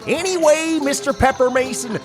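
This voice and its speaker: A woman's voice: sits high, moderate pace, over music, close to an everyday voice. An actress playing a chatty, folksy woman who works the livestock at a county fair in an audio comedy.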